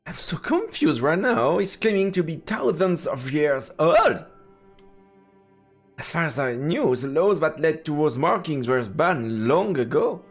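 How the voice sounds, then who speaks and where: Animated French-accented man streaming a game. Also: fairly high pitch, unhurried. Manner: playful, theatrical reactions. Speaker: live streamer playing a visual novel. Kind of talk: reading game text aloud with casual commentary. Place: indoors, live game stream. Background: faint game music.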